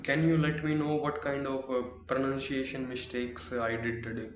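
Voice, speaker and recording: A man from Haryana with an Indian accent, practicing English and pausing to find words. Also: mid-range voice, flat delivery. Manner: hesitant, searching for words. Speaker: English learner. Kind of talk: practice conversation. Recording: roomy room with noise.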